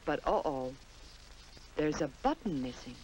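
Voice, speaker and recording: A woman's voice: low-pitched, expressive, measured pace, darkish tone, slight background noise, rough recording. An American woman narrating a 1950s children's instructional film in a simple storytelling manner, on an old film soundtrack. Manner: simple, storytelling delivery addressed to young children.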